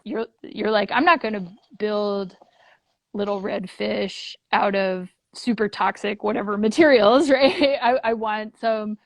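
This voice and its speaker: A woman's voice: medium pitch, fairly even intonation, measured pace, no room sound. A woman speaking casually as an interview guest, earnest, thinking aloud in long, looping phrases. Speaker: guest, puppet theater leader.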